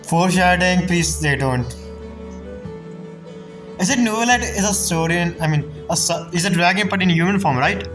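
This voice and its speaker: A woman giving casual, halting gameplay commentary in giggly asides as she plays a story game. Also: on the low side, over music. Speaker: streamer playing a story game.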